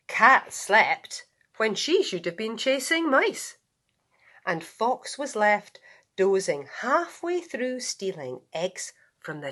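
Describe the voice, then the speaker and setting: A woman reads a children's bedtime story with a very lively, swooping pitch. She takes it extremely slowly, stretching words theatrically and dropping into hushed tones. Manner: theatrical, drawn-out, with hushed whispers and acted yawns. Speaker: storybook reader, school staff member.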